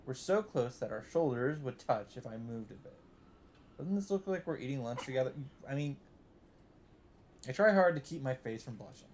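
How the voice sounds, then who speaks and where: A man hosting a let's play, reading visual novel text aloud in an even voice and breaking in with blunt, swearing asides. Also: mid-range voice, moderate pace, a little hiss or hum. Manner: reads narration evenly, breaks in with swearing asides.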